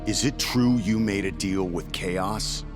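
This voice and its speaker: A brusque warrior hero from a fantasy game, played by a man, talks in a deep voice. He is terse and impatient, keeping every phrase blunt. Loud music runs behind him. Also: unhurried, lightly acted. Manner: curt, blunt. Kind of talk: video game character acting.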